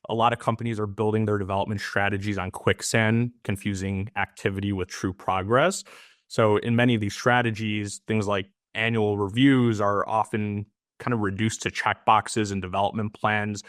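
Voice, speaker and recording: A man moderating a webinar panel, his voice low and held to a narrow, even range, heard through a dry call mic with almost no room sound. He talks earnestly, with plenty of "um"s and restarted phrases. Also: normal speed, no echo. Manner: earnest, filler-heavy with restarts. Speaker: webinar host.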